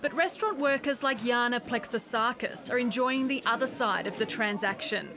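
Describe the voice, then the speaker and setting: A woman's voice: fairly high pitch, normal speed, music in the background. An Australian woman reporter narrating a scripted television news story.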